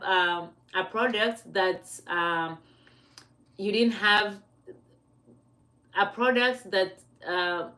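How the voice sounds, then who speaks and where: A woman's voice: medium pitch, a bit bright. A woman from Kenya with a Kenyan accent, talking on a casual motivational livestream, chatty and laughing often, stopping to correct her own words. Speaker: livestream host.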